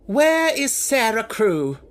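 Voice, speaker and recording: A man's voice: very high-pitched, sing-song, slow, a bit bright, pitched above the performer's own voice, full character voice. A man voicing a harsh, imperious, indignant middle-aged headmistress in an audiobook story. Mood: fierce, bitter.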